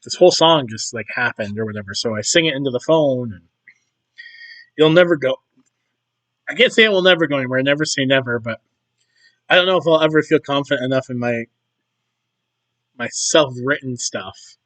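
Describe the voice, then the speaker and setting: A man losing his voice, chatting casually and rambling while playing a video game, often clearing his throat. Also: mid-range voice, a little fast, pauses often, fairly bright tone, poor audio. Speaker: gaming YouTuber. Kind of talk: casual commentary over gameplay.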